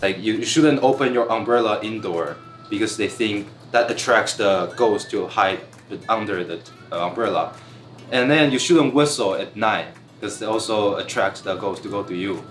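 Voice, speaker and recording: A man in his twenties from Taiwan, a student giving earnest, halting interview answers with some restarts. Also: on the low side. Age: 20s. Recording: roomy echo with guitar music.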